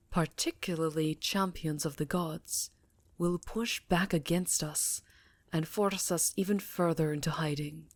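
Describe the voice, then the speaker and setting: Low, slow vampire countess, played by a woman. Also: no echo. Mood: grave, resolute. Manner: measured, dramatic, breathy delivery dipping into whispers. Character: imperious, secretive vampire countess confessing to her lover. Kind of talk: intimate ASMR roleplay monologue.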